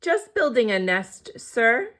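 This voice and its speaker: A woman's voice: on the high side, very animated, slow, a bit bright, full character voice. A woman voicing a cheeky, self-satisfied little male bird in a children's storybook.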